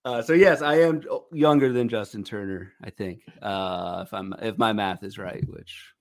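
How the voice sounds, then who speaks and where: A man's voice: fairly low pitch, moderate pace, dry recording, clean studio sound. A man in his thirties co-hosting a podcast, talking through fantasy baseball players casually with lots of fillers and restarts. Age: 30s. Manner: thinking aloud, many fillers and restarts. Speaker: podcast co-host.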